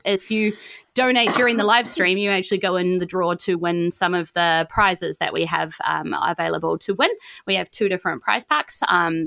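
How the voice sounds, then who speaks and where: Bright-voiced New Zealand woman hosting. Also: lowish voice, no echo, studio quality. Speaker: host and game master of a D&D podcast. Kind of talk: chatty podcast outro with thanks and announcements.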